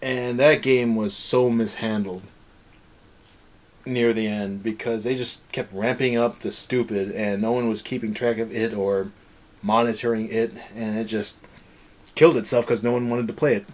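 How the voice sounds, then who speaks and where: A man's voice: on the low side, steady tone, moderate pace, rough recording. Young man ranting casually, exasperated. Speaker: review show host. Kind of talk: casual rant-style episode review chat.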